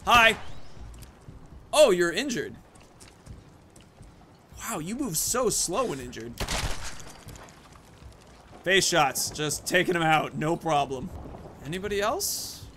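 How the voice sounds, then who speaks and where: A man's voice: high-pitched, sing-song, takes it slowly, long pauses, noisy background. A man hosting a gaming video, giving casual commentary and thinking aloud about his moves and choices. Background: video game music.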